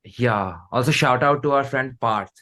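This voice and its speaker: A man with an Indian accent and a medium-pitched voice. He speaks as a musician being interviewed, casual and informal, often halting as he goes. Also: bright, forceful tone. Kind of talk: casual chat.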